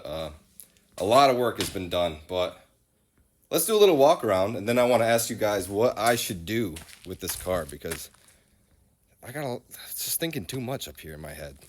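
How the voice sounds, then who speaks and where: Congested man rambling on a car walkaround. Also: fairly low pitch. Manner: loose, rambling, self-deprecating chatter. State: congested with a head cold. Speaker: car vlogger. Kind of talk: casual car walkaround vlog. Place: outdoors in a gravel driveway and inside a small car. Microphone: phone camera selfie view.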